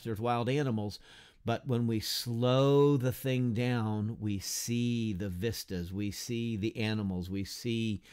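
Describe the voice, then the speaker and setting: A man's voice: mid-range voice, darkish tone. An older man, a pastor, teaching a class unscripted to a webcam in a book-lined study, earnest and conversational, with frequent restarts and "right?" checks. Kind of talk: unscripted teaching talk to camera. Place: indoor study with bookshelves and whiteboard. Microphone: webcam at desk distance.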